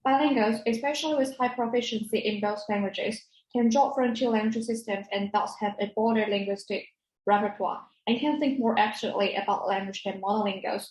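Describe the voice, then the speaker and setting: A woman's voice: mid-range voice, brisk, a little mellow. A woman student presenter reading a prepared academic presentation in a formal manner. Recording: roomy, echoey sound.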